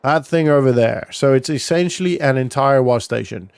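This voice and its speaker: A man's voice: medium pitch, moderate pace, vocal fry, darkish tone, clear, resonant tone, no room sound. A man giving casual, informal roleplay commentary as a gaming vlogger, thinking aloud as he goes. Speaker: gaming vlog host.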